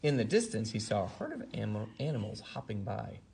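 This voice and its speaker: A man reading a bedtime story to a child, expressive, with drawn-out emphasis on key words. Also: fairly low pitch, creaky, breathy edge.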